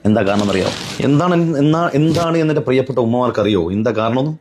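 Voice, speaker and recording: A man's voice: mid-range voice, lively intonation, quick pace, a little mellow, soft background music. A man giving an admonishing religious commentary, earnest and pleading, driving points home with repetition and rhetorical questions.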